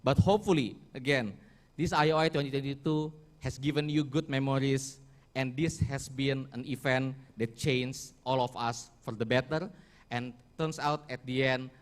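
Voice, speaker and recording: A man giving a formal closing speech as event organizer, halting at times and correcting himself as he goes. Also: mid-range voice, steady tone. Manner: halting, with restarts and self-corrections.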